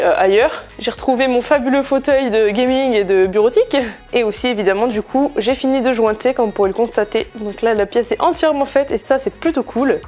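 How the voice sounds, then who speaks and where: A woman's voice: on the high side, a little mellow. A young woman chatting casually to camera about her house renovation, frank and full of hesitations. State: stuffy nose from a cold. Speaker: home renovation vlogger. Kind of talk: casual vlog chat to camera.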